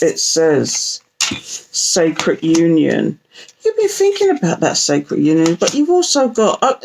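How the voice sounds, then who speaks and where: Croaky-voiced British woman reading tarot. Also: lowish voice, a little mellow. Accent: British, London Jamaican slang. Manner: chatty, playful, talks to viewers directly. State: croaky, groggy morning voice. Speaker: tarot reader. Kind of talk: casual oracle card reading to camera.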